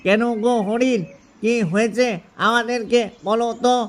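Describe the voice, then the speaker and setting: Father gander for a cartoon, male actor: wary husband, unusually high, little pitch movement. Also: fairly even intonation, moderate pace. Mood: worried. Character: worried father gander, cautious, scolding, wily. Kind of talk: cartoon story voice acting.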